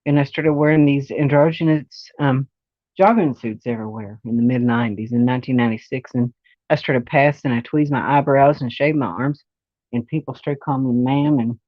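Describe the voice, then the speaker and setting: A woman's voice: very deep voice, no echo, highs cut off. A woman in her forties giving a casual, rambling personal monologue, often circling back to repeat points. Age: 40s. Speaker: vlogger sharing personal experience.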